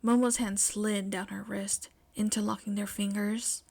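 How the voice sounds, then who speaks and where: A woman's voice: mid-range voice, level tone, a little slow, vocal fry, a little mellow. A woman reading scripted fiction aloud as the narrator of an amateur audio story. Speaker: podfic narrator.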